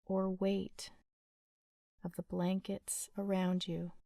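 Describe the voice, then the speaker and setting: A woman leading a guided sleep meditation in a gentle, soothing, unhurried manner with long pauses. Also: medium pitch, level tone, gravelly, no echo, studio quality.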